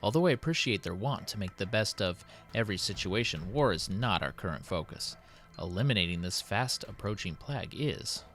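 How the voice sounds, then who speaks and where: A man voicing a worried, dutiful city president who dictates his diary in a formal, measured, solemn way. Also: lowish voice, expressive, a bit bright, soft background music. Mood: troubled, resolute. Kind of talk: dramatic in-character diary reading.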